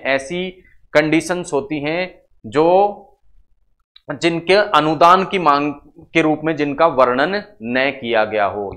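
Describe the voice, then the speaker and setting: A man's voice: on the high side, a bit bright. A man lecturing didactically, asking rhetorical questions, answering them himself and repeating key phrases. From India. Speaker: teacher for competitive exam classes. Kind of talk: classroom lecture explaining to students. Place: indoor studio classroom in front of a digital board. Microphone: clip-on lapel microphone.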